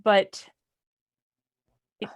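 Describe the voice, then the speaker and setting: A woman's voice: extremely slow, no room sound. A woman in a casual book club discussion, speaking analytically and posing a lot of questions as a panelist.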